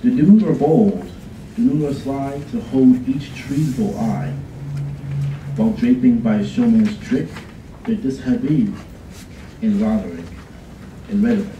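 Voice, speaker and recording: A young man giving a measured, deliberate dramatic poetry reading at a college contest podium. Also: mid-range voice, animated, mellow, a little hiss or hum. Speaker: student contestant. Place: college event room. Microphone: podium mic. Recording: echoey room, far from mic.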